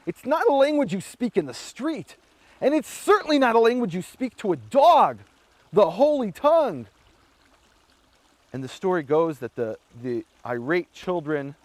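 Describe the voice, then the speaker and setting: A man giving a Bible teaching talk, telling history as a lively story and acting out the quoted voices. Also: fairly high pitch, no echo.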